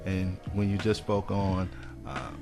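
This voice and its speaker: Low-voiced guy on community radio, earnest, pushing parents to show up, music in the back. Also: monotone, unhurried. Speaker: radio guest, community mentor and counselor. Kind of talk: radio interview talk.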